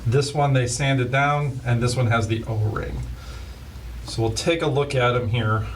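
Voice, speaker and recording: A man's voice: mid-range voice, unhurried. Man demoing products from a bit away. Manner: relaxed, filler-heavy, plain explaining. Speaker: host of a scanner shop's product videos. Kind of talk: casual product demo. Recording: voice a bit far from the mic, faint hiss.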